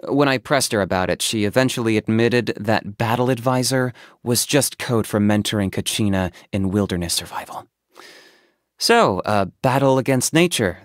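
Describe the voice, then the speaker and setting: A stoic young hunter-for-hire from a video game, played by a man, speaks in a fairly low voice. His delivery is measured and matter-of-fact, with a businesslike deadpan. The sound is very dry and close. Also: dry recording, close to an everyday voice. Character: stoic young male mercenary saurian hunter, pragmatic, businesslike. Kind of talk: scripted video game character monologue.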